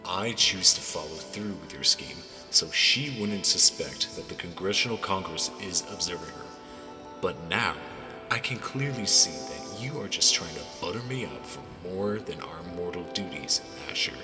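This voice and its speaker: A man's voice: deep voice, animated, normal speed. A man playing a stern, guarded lord in an audio drama, speaking in a formal, admonishing way. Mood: cold warning. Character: stern, cynical, guarded male lord in power. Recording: long hall reverb over music.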